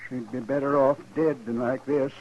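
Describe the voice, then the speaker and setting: An actor playing a poor, aggrieved homesteader husband with a rural American accent, strained and protective, in a radio western. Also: male voice, medium pitch, steady tone, moderate pace, lightly acted. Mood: pained, protective. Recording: muffled old radio drama recording.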